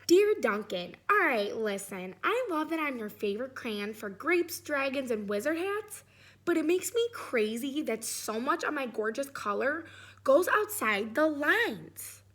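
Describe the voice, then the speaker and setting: Woman playing storybook purple crayon, high-pitched, neat, exasperated, emphatic. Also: very animated, measured pace, a bit bright, exaggerated voice. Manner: indignant, emphatic.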